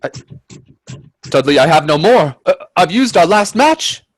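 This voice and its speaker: A man playing an earnest, uneasy gentleman adventurer in a radio play, telling his tale with theatrical, hesitant suspense. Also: sits high, sing-song, a little slow, dry recording, exaggerated voice. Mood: nervous, alarmed. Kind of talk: dramatic radio play narration and dialogue.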